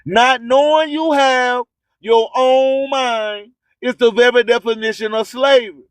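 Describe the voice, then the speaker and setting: A man with a strikingly high voice hosts a radio show in a dry, close sound. He speaks slowly and emphatically, preaching in streetwise slang and repeating rhymed motivational slogans for weight. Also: fairly even intonation, smooth, dry recording. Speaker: radio host.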